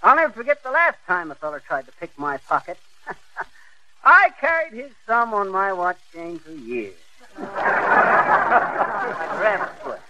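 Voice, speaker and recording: An actor playing a cocky, folksy, blustering husband in an old radio comedy. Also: male voice, sits high, sing-song, measured pace, pauses often, pushed and bright, full character voice. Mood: boastful. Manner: folksy, boastful. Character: cocky, blustering small-town braggart husband. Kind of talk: 1940s radio comedy acting. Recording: noisy old radio recording.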